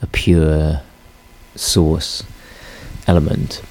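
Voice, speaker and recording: A man musing reflectively and hesitantly, often pausing to search for words. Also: rumbling low, flat delivery, a little mellow, poor audio. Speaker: interviewee.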